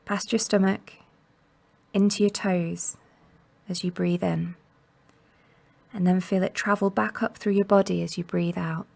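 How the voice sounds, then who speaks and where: A woman guiding a meditation in a gentle, soothing and unhurried manner, leaving long pauses between instructions. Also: lowish voice, fairly even intonation, creaky. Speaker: mindfulness meditation guide. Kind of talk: guided body scan meditation narration.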